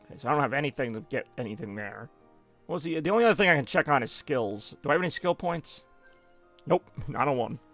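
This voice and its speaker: A man's voice: medium pitch, lively intonation, fast, a bit bright, faint music behind. A man giving rambling, self-mocking live gameplay commentary as a streamer, now and then dropping in German phrases. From United States. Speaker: gaming streamer.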